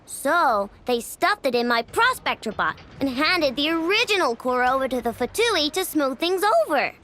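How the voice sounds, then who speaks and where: Excitable kid inventor girl, game character, super high voice, bubbly n boastful, bouncy pitch. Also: normal speed, slight background noise. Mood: excited, proud. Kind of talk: video game voice acting.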